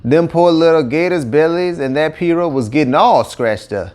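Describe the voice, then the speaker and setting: A man's voice: on the high side, moderate pace. A man with a Cajun accent narrating a children's story in a folksy, colloquial way. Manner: colloquial, animated dialect delivery. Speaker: audiobook narrator.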